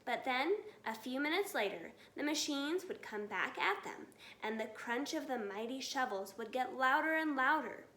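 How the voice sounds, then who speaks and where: A woman giving an expressive children's read-aloud. The voice is high and very animated, taken at an unhurried pace. The room adds a noticeable echo. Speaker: storybook reader.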